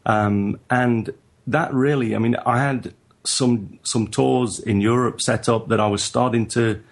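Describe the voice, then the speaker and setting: A man with a northern English accent, talking in a halting, reflective way as a guest in a casual interview. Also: mid-range voice, fairly even intonation, gravelly. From northern England. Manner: halting, reflective, frequent restarts. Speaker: guest photographer.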